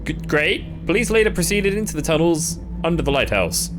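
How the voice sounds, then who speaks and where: Animated, higher-pitched man with deadpan sarcasm. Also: fairly quick. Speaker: streamer reacting to videos. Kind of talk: live reaction, reading on-screen text aloud with asides. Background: music.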